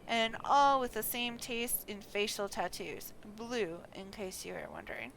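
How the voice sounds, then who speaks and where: A woman's voice: medium pitch, a little slow, vocal fry, a bit bright, a little hiss or hum, poor audio. A woman voicing a wry, cynical male rogue from a fantasy game, with dry archaic phrasing.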